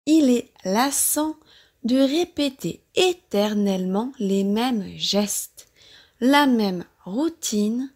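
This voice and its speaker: A woman from France with a French accent reads a novel aloud for language learners, enunciating carefully and deliberately in short phrases. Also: on the high side, darkish tone. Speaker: audiobook-style reader for language learners. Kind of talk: slow scripted novel narration for pronunciation practice.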